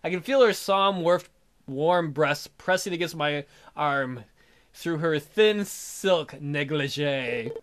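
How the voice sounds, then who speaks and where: A man's voice: on the high side, slow pace. A man hosting a let's play, reading a visual novel aloud in a theatrical, jokey way. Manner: theatrical, jokey, hammy delivery. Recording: background music behind voice.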